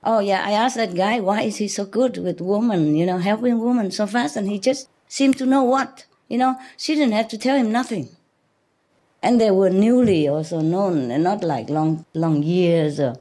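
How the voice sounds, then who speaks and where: A woman's voice: mid-range voice, moderate pace, dark tone. A middle-aged woman, a spiritual teacher, talking informally to a seated group through a desk mic, chatty, laughing often and restarting her sentences. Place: room with paper screens, audience in a hall. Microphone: desk mic on a stand.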